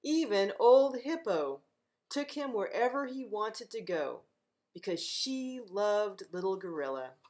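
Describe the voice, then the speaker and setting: A middle-aged woman reads a children's picture book aloud in an ordinary mid-pitched voice. She takes her time, speaking very slowly, with a gentle, expressive delivery aimed straight at little listeners. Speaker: librarian reading aloud to children. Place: living room at home, indoors.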